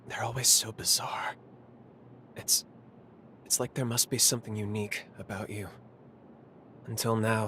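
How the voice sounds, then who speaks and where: A man's voice: lowish voice, bright, forceful tone, slight background noise. A man voicing a resolute, guarded fighter who speaks earnestly and reflectively in a game cutscene. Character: resolute young male fighter, quietly guarded. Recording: faint music score behind the voice.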